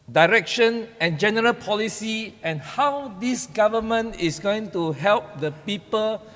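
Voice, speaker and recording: A Malaysian-accented man argues a point as a legislator in a formal debate. His voice sits a little high, and he halts and repeats phrases as he presses on. The large chamber adds a long, echoing reverb. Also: a bit bright. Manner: halting, repetitive, argumentative. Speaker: opposition state assembly member. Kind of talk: parliamentary debate speech. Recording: echoey hall with long reverb.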